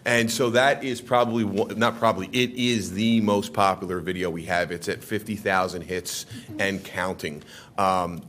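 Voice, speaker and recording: A man with a fairly low voice presents to a city council in an earnest way. He pushes certain points with sudden lifts in his voice and often restarts his sentences. A faint rumble sits under his words. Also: fairly bright tone. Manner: earnest, with emphatic rises and frequent restarts. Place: council chamber.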